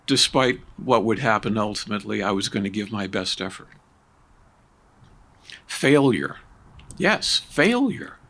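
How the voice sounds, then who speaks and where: Bright-voiced older man giving ceremony remarks. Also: mid-range voice. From Maine. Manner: measured, stresses key words with rising emphasis. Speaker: ceremony speaker, former cadet.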